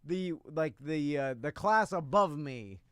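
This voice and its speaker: A man's voice: on the high side, animated, measured pace, fairly bright tone, clean tone, no room sound, studio quality. An American man in his forties chatting casually as a co-host of a talk show. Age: 40s. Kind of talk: casual chat.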